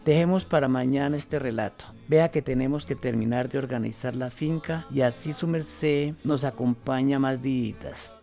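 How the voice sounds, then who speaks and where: A man's voice: mid-range voice, normal speed, creaky, hardly any pauses, mellow, close to an everyday voice. An older man with a Colombian accent playing an affectionate, proud and playful grandfather in an amateur radio play. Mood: gentle. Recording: music under the voice. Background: music.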